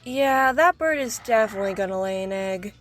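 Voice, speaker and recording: A woman's voice: medium pitch, lively intonation, a little fast, fairly bright tone, soft background music, close to an everyday voice. A woman playing a confident, sassy, teasing young woman in a cartoon comic dub. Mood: amused.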